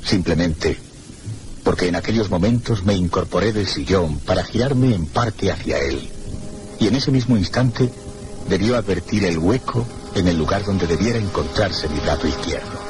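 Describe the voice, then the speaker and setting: An actor playing a reserved, melancholy male hotel guest who narrates a radio suspense drama in a measured, literary, courteous way. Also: low-pitched, animated, background noise. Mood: brooding.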